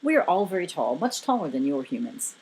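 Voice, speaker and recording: A woman voicing a giant telepathic man from another world, speaking formally with calm, reassuring authority. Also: brisk, husky, exaggerated voice, poor audio. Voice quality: booming.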